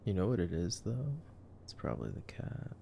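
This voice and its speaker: A man in a casual ASMR roleplay, commenting on a movie in a soft, hushed, intimate murmur. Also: deep voice, wildly swooping pitch, moderate pace. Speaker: ASMR roleplay voice actor as boyfriend. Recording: clearly noisy background ambience.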